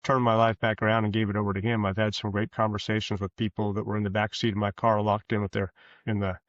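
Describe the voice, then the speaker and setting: Male podcast guest, deeper register, earnest and halting, reflective delivery, dull roomy recording. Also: little pitch movement. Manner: halting, earnest, rephrases as he goes. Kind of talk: reflective interview conversation. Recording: muffled, roomy, echoey sound.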